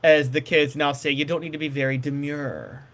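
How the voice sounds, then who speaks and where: Bright, higher-pitched man co-hosting sports talk. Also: fairly even intonation, moderate pace. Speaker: sports talk show co-host. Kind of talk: casual sports discussion.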